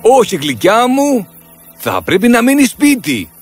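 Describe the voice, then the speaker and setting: Man acting a high-pitched, kindly cartoon father. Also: wildly swooping pitch, music in the background, heavily acted. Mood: apologetic, firm. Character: kindly, protective woodcutter father in a fairy-tale cartoon.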